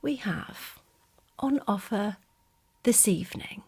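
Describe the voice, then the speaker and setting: A woman whispering softly in a breathy voice, playing an attentive air hostess in an ASMR roleplay. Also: medium pitch, animated, dry recording. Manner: soft, slow, item-by-item listing.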